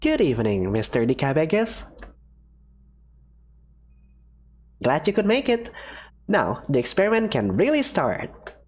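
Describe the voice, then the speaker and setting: A cheerful woman professor with a secretive streak, as a voice actor plays her. Her lively voice swoops up and down in a breezy, teasing delivery. She sounds like a character from a fan-made visual novel. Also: mid-range voice, moderate pace. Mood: confident.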